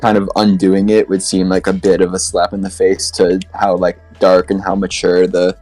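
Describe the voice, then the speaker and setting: Low-pitched man chatting casually. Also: completely flat delivery, normal speed, a little mellow, dry recording, faint music behind. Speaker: podcast panelist. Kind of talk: casual fan discussion.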